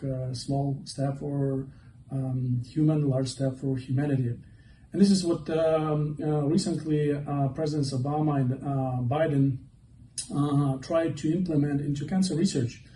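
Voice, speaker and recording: A man in his forties from Ukraine presents to a conference audience, pausing often with many fillers. His voice is mellow and flat in pitch. The sound comes from a little way off the mic in a reverberant hall. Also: a little hiss or hum. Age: 40s. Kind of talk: conference talk. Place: event hall. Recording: echoey hall, mic from far off.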